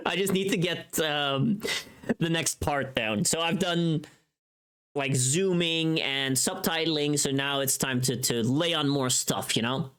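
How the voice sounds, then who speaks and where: A woman chatting casually to viewers on a livestream, talking in a scattered, expressive and self-deprecating way. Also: low-pitched, takes it slowly, clear, resonant tone.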